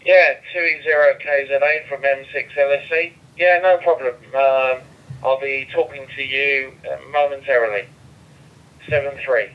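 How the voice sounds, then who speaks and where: A man's voice: medium pitch, fairly even intonation, normal speed. A male amateur radio operator chatting with call signs, heard over a digital two-way radio. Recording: narrow, tinny handheld radio link.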